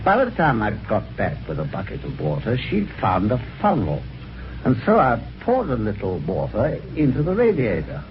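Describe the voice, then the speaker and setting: An actor playing a genial, chatty British husband with wry gentlemanly phrasing, in vintage radio drama on an old recording. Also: male voice, fairly low pitch, huge pitch swings, moderate pace, highs cut off, soft background music. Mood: wry storytelling. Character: genial, chatty, helpful husband telling his adventure. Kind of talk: 1950s radio drama acting and first-person narration.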